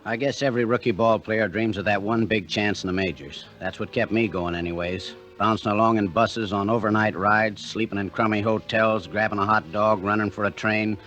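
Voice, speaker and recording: A man's voice: lowish voice, steady tone, brisk, few pauses, fairly bright tone, soft background music, close to an everyday voice. An actor playing a weary young minor-league catcher in a radio drama, telling his story in plain, slangy talk. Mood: brooding, wistful. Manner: plain, slangy, ungrammatical working-class talk. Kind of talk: 1950s radio drama narration and acting.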